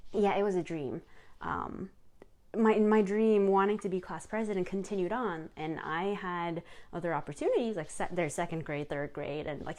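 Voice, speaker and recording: A woman's voice: lowish voice, a little mellow. A young woman from South Korea hosting her own podcast, telling a personal story in a chatty way full of fillers and restarts. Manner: chatty, many fillers and self-corrections. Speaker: podcast host.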